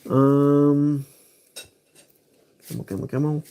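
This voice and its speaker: A man's voice: fairly high pitch, flat delivery, slow pace, mellow, smooth. A man hosting a casual livestream, thinking aloud as he picks cards. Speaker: livestream host.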